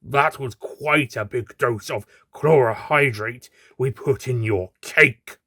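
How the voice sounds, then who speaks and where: A man voicing a sinister thug in an audio story, slow, gloating and full of mockery. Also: medium pitch, sharp tone, dry recording, studio quality, heavily acted. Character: mocking, sinister male thug kidnapper.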